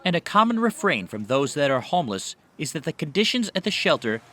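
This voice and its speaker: A man's voice: on the high side, sing-song, a little fast, pushed and bright. An American man delivering scripted narration as a television news reporter.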